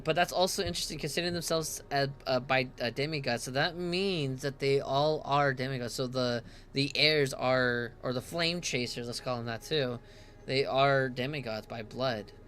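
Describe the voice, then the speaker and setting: Bright-voiced male streamer, faint room hiss. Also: medium pitch. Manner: stumbles over hard words while reading in-game text aloud; reads lore in a slower, calmer voice. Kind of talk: casual game stream chat and reading aloud. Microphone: headset.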